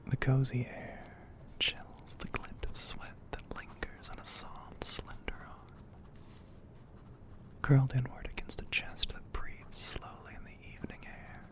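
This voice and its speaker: Male ASMR narrator: breathy whisper throughout, unhurried and level, poetic phrasing with long pauses. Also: medium pitch, monotone. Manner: slow, hushed, poetic delivery with frequent pauses.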